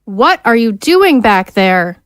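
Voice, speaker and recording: A woman's voice: on the high side, sing-song, slow pace, clear, resonant tone, no echo. An actress playing a bossy, hostile female prison guard, sarcastic and snappish, in an audio drama. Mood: bossy irritation.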